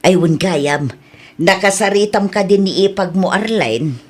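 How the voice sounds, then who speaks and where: A woman's voice: on the low side, lively intonation, unhurried. A woman playing a caring mother with an Ilocano accent who gives patient advice in an audio drama. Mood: advising. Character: caring middle-aged mother, gently advising.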